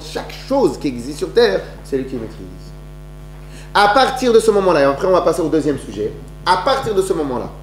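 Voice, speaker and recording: Conférencier, voix un peu haute, français, salle réverbérante avec léger bourdonnement. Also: male voice, a little high. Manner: lively storytelling, many restarts and rhetorical questions. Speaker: lecturer. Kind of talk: religious talk to an audience. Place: lecture hall. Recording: echoey room with faint hum.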